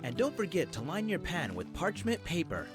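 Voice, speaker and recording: A man's voice: high-pitched, huge pitch swings, moderate pace. A man voicing an enthusiastic young student instructor giving directions in a visual novel game. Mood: enthusiastic, instructive. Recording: music playing behind the voice.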